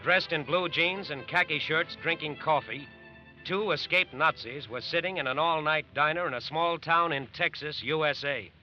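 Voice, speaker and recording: An actor as a grave, dramatic American narrator of an old-time radio crime story, deliberate and emphatic to build suspense. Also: male voice, on the high side, natural voice. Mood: suspenseful. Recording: muffled old radio recording with music.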